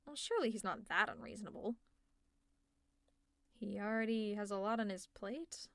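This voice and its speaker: A woman's voice: mid-range voice, moderate pace, fairly bright tone, dry recording, lightly acted. A woman playing a teasing, exasperated, secretly caring female supervillain in an ASMR audio roleplay, with a sarcastic, conversational delivery. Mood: wry, insistent.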